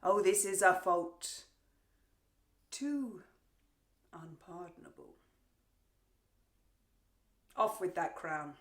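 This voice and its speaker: A woman performing a Shakespearean stage monologue as a vengeful warrior queen, theatrical and taunting, with drawn-out pauses, gloating over a captive. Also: on the low side, animated. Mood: scornful, menacing. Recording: roomy, echoing untreated room.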